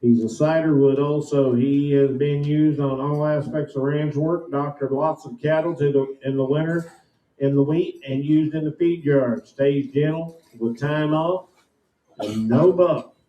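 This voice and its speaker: A man's voice: fairly high pitch, steady tone, a little slow, warm tone, lo-fi sound. American male auctioneer, echoey sound. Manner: repetitive, rhythmic patter. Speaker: livestock auctioneer. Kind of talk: rapid auction chant mixed with spoken sales pitches. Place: indoor livestock auction ring.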